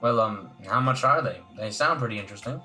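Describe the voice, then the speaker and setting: A man's voice: mid-range voice, animated, fairly quick, bright, forceful tone, soft background music. Man acting a nervous, stammering, polite customer. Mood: polite, curious. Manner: stammering, apologetic. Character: nervous, polite human visitor addressing a shopkeeper. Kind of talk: visual novel dialogue read-aloud.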